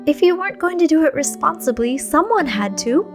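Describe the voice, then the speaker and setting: A woman's voice: high voice, big pitch swings, brisk, music in the background, voice pushed up. A woman voicing a stubborn, protective teenage heroine in story dialogue, emphatic and argumentative. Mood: indignant.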